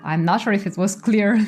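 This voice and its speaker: A woman's voice: mid-range voice, a little mellow. A young woman with a Romanian accent, a native Romanian speaker, explaining her research as an interview guest.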